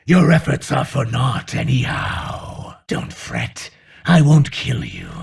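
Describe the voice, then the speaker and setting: A man voicing a menacing, sadistically playful monster villain in a video game, with a raspy, theatrical and condescending delivery. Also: mid-range voice, heavily acted. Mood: mocking amusement. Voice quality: gravelly, raspy. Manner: slow, theatrical, mockingly condescending.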